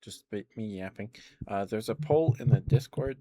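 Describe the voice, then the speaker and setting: A man's voice: fairly low pitch, moderate pace, warm tone, no room sound. A man streaming ASMR, chatting casually in a rambling, hesitant way into a close microphone. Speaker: live streamer. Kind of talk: ASMR, casual rambling chat to live viewers.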